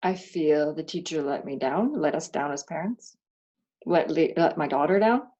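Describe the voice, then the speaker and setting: A woman, a parent, speaking candidly and haltingly about her frustrations in a reflective self-inquiry session. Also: low voice, expressive, mellow, muffled recording. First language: not German. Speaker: parent doing the worksheet.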